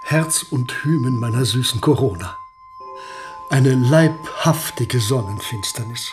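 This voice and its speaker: A man's voice: medium pitch, lively intonation, unhurried, over music, natural voice. A man acting in a German radio play as an aging, courtly privy councillor with ornate, gallant phrasing, French flourishes and a macabre sentimentality. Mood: wistful, savouring. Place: studio radio production.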